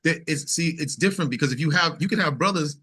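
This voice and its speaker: A man's voice: a little high, fast talker, sharp tone, slightly rough. A man speaking as a musician guest in casual conversation, explaining things and checking for agreement.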